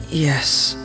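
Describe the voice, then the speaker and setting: A man voicing a shy, anxious teenage boy in a video game, speaking in a hesitant, halting and timid way. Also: fairly high pitch.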